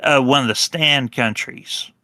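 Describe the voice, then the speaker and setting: A man on a panel pushing back on a point, halting and restarting often. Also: medium pitch, pushed and bright, dry recording, studio quality. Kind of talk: heated panel discussion on a live stream.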